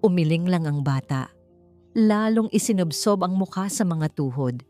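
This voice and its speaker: A woman's voice: fairly low pitch, animated, moderate pace, warm tone, faint music behind. A woman narrating a scripted dramatic story.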